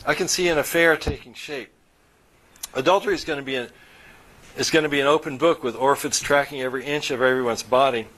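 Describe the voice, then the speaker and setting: A man's voice: mid-range voice, fairly quick, a bit bright, lightly acted, rough recording. A man voicing a chatty husband who jokes and gossips in a breezy, easygoing way. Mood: gossipy. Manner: breezy, gossiping, forced chuckles.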